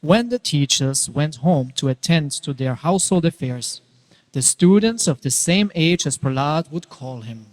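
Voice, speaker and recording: A long reverb follows this male narrator's somewhat high voice. He reads a scripted sacred story aloud, shaping each phrase with deliberate, storytelling emphasis. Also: lively intonation. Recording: reverberant hall.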